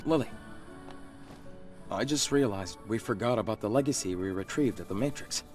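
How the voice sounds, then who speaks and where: A calm, pragmatic male guide played by a man in a game, with a fairly low voice. He talks in an understated, matter-of-fact way over faint music. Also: moderate pace, natural voice. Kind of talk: video game character acting.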